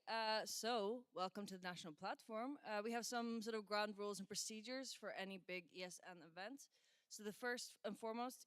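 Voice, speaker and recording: A woman presenting ground rules at a meeting in a relaxed, friendly way with casual asides. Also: medium pitch, smooth, dry recording.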